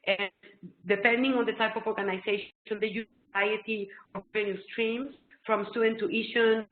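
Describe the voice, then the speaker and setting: A middle-aged woman with a Mexican Spanish accent, a native Spanish speaker, gives a webinar presentation over a laptop webcam. She explains in an excitable way, with many ums. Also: medium pitch, fairly quick. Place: small indoor room. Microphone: laptop webcam video call. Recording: tinny phone-like echoey webcam call.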